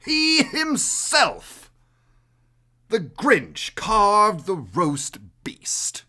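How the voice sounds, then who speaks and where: Bright, theatrical male storybook narrator. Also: high voice, wildly swooping pitch, very slow. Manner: theatrical, emphatic. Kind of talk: dramatic children's read-aloud.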